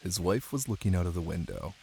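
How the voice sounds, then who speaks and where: Deep gravelly man, intimate ASMR storyteller. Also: animated, fast talker, dark tone, low-quality recording. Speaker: ASMR voice actor playing a caring boyfriend. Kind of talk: cozy ASMR bedtime story reading and intimate chat. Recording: light hiss under rain ambience. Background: rain.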